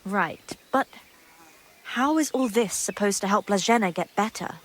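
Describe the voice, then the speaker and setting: A woman's voice: mid-range voice, unhurried, lightly acted. A woman playing a gossipy, guarded young monastery maid in a medieval video game drama, secretive and earthy in her talk. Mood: concerned. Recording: clear background ambient noise.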